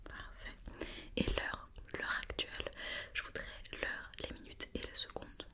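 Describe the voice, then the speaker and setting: A woman whispering softly in an ASMR roleplay as a company doctor, gently reassuring and giving step-by-step instructions. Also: a little slow. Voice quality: soft unvoiced whisper.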